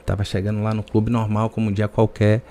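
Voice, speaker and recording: Man, Bahian Brazilian accent, lowish pitch, podcast guest, conversational storytelling. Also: completely flat delivery, a little fast, warm tone, a little hiss or hum. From Salvador area, Bahia, Brazil. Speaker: podcast guest, personal trainer.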